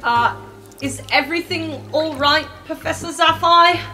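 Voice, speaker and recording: A woman's voice: high voice, unhurried, sharp tone. A woman improvising as a player in a tabletop roleplaying podcast, in casual conversation. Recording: clear music behind voice.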